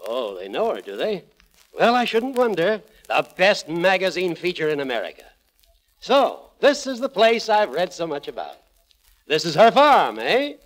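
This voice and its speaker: A man's voice: sits high, wildly swooping pitch, moderate pace. A man playing a pompous, blustering magazine publisher in 1940s radio comedy style, easily outraged and declamatory. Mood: imperious.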